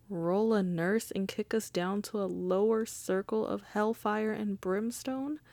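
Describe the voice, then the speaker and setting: A woman's voice: medium pitch, creaky, a little mellow, no room sound. A woman playing a wry, charming female mafia boss in an intimate ASMR roleplay, conversational and teasing. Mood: exasperated. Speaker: audio roleplay voice actor.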